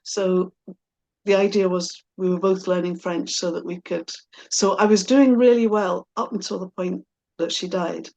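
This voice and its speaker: A woman's voice: on the low side, fairly even intonation, moderate pace, gravelly, darkish tone, poor audio. Middle-aged woman, hesitant and reflective. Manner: hesitant, thoughtful, self-reflective. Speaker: guest in a personal conversation. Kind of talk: reflective casual conversation.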